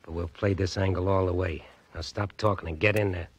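An actor playing a menacing, suspicious gangster heavy, curt and commanding, in a 1940s radio drama. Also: male voice, deep voice, steady tone, a little fast, lightly acted. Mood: menacing, bossy. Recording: dull, noisy old radio recording.

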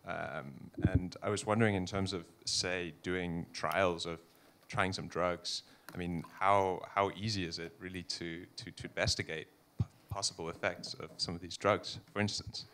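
A man from Belgium in the audience asking a halting question full of fillers in a lecture hall. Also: low-pitched, fairly even intonation, pushed and bright. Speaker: audience member.

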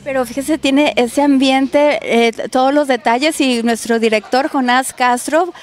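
A woman's voice: high voice, fairly even intonation, a little slow. A woman in her forties from northern Mexico, reporting on location with a handheld mic and gushing with praise. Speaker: reporter host. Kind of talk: on-location food interview. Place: outdoor patio and restaurant interior. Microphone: handheld microphone.

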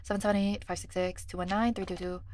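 A woman's voice: mid-range voice, level tone, fast, darkish tone, a little hiss or hum. Chatty woman streamer muttering through a game. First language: Korean. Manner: chatty, thinks aloud, reads numbers under her breath. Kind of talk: casual gameplay commentary.

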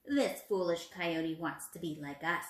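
A woman's voice: on the low side, unhurried, roomy sound, far from the mic, voice pushed down, natural voice. A woman reading a storybook aloud, voicing a sly, teasing old male crow who leads a flock. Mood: scheming.

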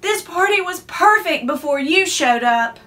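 A woman's voice: extremely high pitch, lively intonation, a little slow, fairly bright tone, faint music behind, pitched above the performer's own voice, full character voice. A woman voicing a stubborn little girl who loves spooky things, speaking in a firm, matter-of-fact, defiant way. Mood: indignant.

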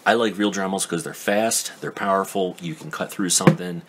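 A man with a remarkably deep, low voice talks through a tool review at his workbench. He rambles in a loose, skeptical way, trailing off and restarting with plenty of ums. A faint hum sits behind him. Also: fairly quick. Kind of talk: casual hands-on product review. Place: indoor workbench.

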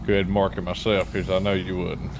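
Low-voiced man over noisy game chat. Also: flat delivery, fast talker. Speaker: role-play gamer playing a fellow marshal. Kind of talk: casual in-character game banter. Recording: game voice chat with loud vehicle noise.

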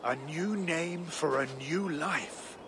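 An actor playing a genial, reflective older Danish king in a game, speaking in a stately, unhurried way. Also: fairly high pitch, background noise. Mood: amused, assured. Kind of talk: video game cutscene acting.

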